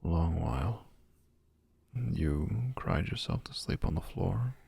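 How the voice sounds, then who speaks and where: A man playing a gentle, caring friend in an intimate audio drama, speaking in a measured, tender, counseling way. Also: lively intonation, no echo, full character voice. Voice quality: deep, gravelly, husky. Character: gentle, caring male friend and writerly confidant.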